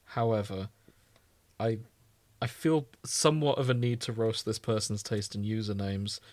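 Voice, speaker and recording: A man's voice: on the low side, steady tone, normal speed, a little mellow, no room sound. A man co-hosting a podcast in casual comedic chat, full of opinionated, mock-indignant banter. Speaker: podcast co-host.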